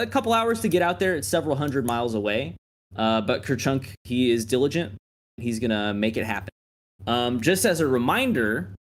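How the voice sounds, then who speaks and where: Laid-back male game master. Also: on the high side. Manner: laid-back, chatty, laughs easily. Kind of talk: recapping a tabletop game.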